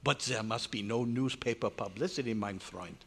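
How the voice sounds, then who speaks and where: A man playing an excitable, authoritative German scientist in old-time radio horror, with a German accent and dramatic, formal, emphatic delivery. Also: on the low side, normal speed, creaky, a little hiss or hum. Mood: grave, intent. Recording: live hotel meeting room, long echo.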